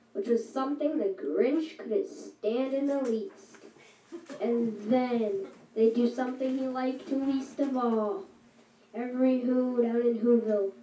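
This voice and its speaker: A woman reading a rhyming story aloud at a school shadow puppet show, slow and rhythmic. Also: a little high, dark tone, a little hiss or hum. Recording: echoey room from far off.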